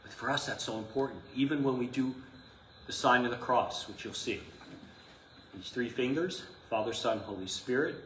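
Bright-voiced middle-aged priest teaching in echoey room. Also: male voice, mid-range voice, moderate pace. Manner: conversational, emphatic, rhetorical questions and asides. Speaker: Orthodox priest teaching a class. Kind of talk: informal live lecture to a class. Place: small indoor classroom. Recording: echoey room, some noise, mic at a distance.

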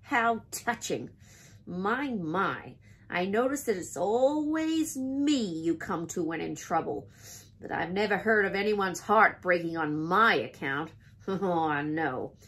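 A husky, put-upon male rat from a children's storybook, acted out by a woman. He grumbles lazily and unhurriedly, with sarcastic mockery in his delivery. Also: on the low side, lively intonation, takes it slowly, a bit bright, pitched below the performer's own voice, full character voice. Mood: self-pitying sarcasm.

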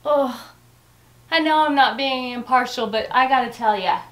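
A woman's voice: high voice, moderate pace. A middle-aged woman giving a casual, gushing, chatty review to camera in a bedroom. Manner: gushing, expressive. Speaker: home decor vlogger. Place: furnished bedroom indoors.

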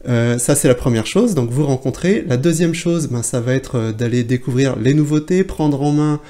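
A man's voice: mid-range voice, normal speed, mellow. A man in his forties, a photography trainer, talking casually to camera in a plain indoor room, chatty and full of fillers and restarts. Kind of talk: casual video blog to camera.

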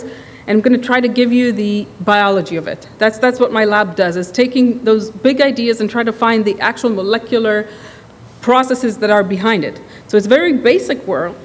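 A middle-aged woman with an Israeli accent talks through her research at a podium, quick and conversational. Her voice sits a little high. It rings in a large reverberant hall with faint noise behind. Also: darkish tone. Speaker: neuroscience professor. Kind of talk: academic lecture. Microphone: podium mic.

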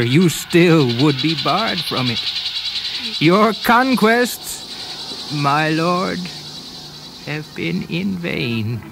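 A high creaky solemn sage in a radio play, slow and formal, noisy recording. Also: male voice. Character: solemn, unyielding sage-like challenger of a galactic conqueror. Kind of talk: radio drama acting.

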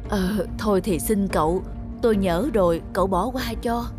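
A woman's voice: mid-range voice, normal speed, over music, close to an everyday voice. An actress voicing a poor middle-aged woman scrap collector in a cartoon, with a humble, pleading manner. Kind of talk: cartoon voice acting.

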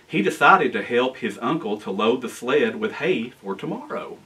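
An American man in his forties reading a children's storybook aloud in an animated, expressive way. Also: medium pitch, moderate pace, distant mic, rough recording. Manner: animated, expressive reading with asides to young listeners. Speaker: guest storyteller, town official. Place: library room with bookshelves, indoors.

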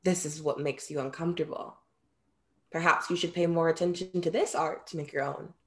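A young woman acts out a perceptive muse in a play reading. The voice is on the low side, with formal, measured phrasing and a frank, composed manner. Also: fairly even intonation, fairly bright tone. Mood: firm, measured. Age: young adult. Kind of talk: virtual staged play reading. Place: small room at home, video call. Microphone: video call.

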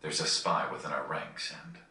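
Deep, forceful young resistance leader. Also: male voice, normal speed, full character voice. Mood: grave, suspicious. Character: serious, guarded young male resistance leader. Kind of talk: dramatic video game acting. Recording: roomy, distant capture off a screen.

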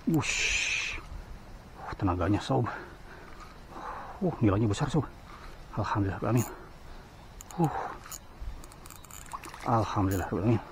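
A man vlogging while slingshot fishing on a riverbank, giving excited running commentary. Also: fairly low pitch, slow, pauses often, background noise. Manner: excited exclamations and frequent thanks to God. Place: outdoors on a riverbank in hot sun. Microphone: head-mounted action camera.

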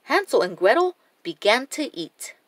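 A woman narrating a children's storybook in a deliberate, expressive reading style. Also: on the high side, no echo.

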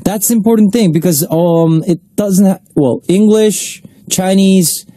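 A man's voice: sits high, a little slow, warm tone. A young man raised in Australia, hosting a casual radio chat show in a studio, talking loosely with lots of fillers and echoing his co-host's words. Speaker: radio host.

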